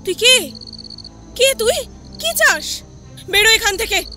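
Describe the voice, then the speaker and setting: A woman's voice: animated, slow pace, music in the background, heavily acted. A woman playing a terrified village woman in a horror audio story, crying out shrilly and fighting back. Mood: frightened defiance. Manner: shrill, gasping cries.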